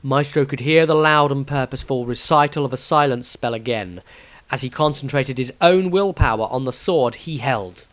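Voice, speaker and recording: A man narrating a scripted fantasy story in a deliberate, expressive storytelling manner. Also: medium pitch, no room sound. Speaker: author reading his own story, narrator.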